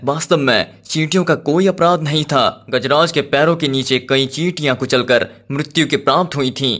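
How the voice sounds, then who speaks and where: A man voicing a solemn, measured holy sage. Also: fairly high pitch, fairly bright tone, lightly acted. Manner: formal, measured, Sanskritized diction. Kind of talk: cartoon moral-story acting.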